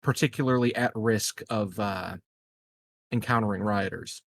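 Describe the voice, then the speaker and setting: Low-voiced man, hesitant and measured. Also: creaky, no room sound, clean studio sound. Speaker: tabletop game player. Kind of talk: casual in-character improvised dialogue.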